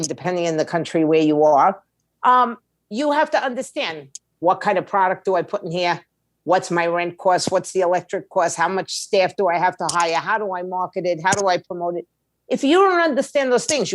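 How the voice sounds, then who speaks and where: Blunt, streetwise middle-aged woman. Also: fairly low pitch. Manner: blunt, streetwise, emphatic with rhetorical questions. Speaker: podcast guest, music attorney and indie label owner. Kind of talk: conversational advice in an interview.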